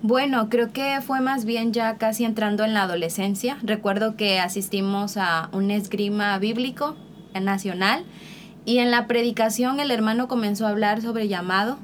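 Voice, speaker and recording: Sincere woman, slightly high voice. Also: fairly even intonation, moderate pace, slight background noise. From Veracruz, Mexico. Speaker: missionary guest. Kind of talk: personal testimony.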